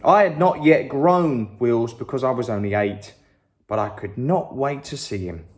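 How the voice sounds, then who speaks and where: A man reading a children's story aloud, slow and expressive. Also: medium pitch. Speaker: story reader.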